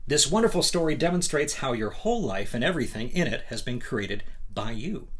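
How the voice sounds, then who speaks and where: A man's voice: medium pitch, big pitch swings, fairly quick. A man hosting a motivational book reading, emphatic and instructive, with conversational asides and rhetorical questions. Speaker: host reading a book aloud.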